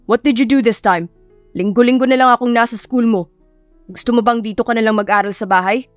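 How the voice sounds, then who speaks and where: A woman's voice: on the high side, fairly quick, no room sound, faint music behind, close to an everyday voice. A woman voicing a grumpy, teasing adult male boss with a Filipino accent in an audio love story. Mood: exasperated, teasing.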